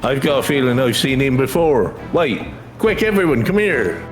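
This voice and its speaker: A man's voice: medium pitch, very animated, moderate pace, faint music behind. A man casually reading game dialogue aloud in character, as a co-player.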